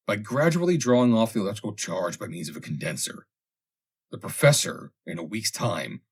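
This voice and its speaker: A man reads a comic vintage story aloud as scripted narration, in a measured, dramatic storytelling manner with deliberate pauses. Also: fairly low pitch, husky. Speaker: audiobook reader.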